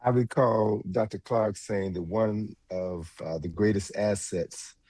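Deep, husky, mellow male guest. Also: fairly even intonation, unhurried. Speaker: panel guest. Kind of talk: thoughtful radio discussion.

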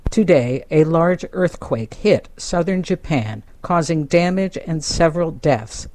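A female news anchor introducing the headlines on radio. She reads slowly and formally, in a measured newsreader manner. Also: deep voice, lively intonation, a little mellow.

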